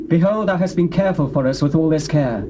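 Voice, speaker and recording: An actor playing the prophet Elisha, speaking solemnly and with command in a dramatized scripture recording with faint tape hiss. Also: male voice, on the high side, quick pace, close to an everyday voice.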